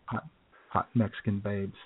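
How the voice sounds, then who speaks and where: A man's voice: on the low side, level tone, measured pace, slightly rough. A man co-hosting a podcast, chatting casually and telling rambling jokey stories. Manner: joking, rambling storyteller. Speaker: podcast co-host. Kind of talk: casual comedic chat. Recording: tinny phone-like sound.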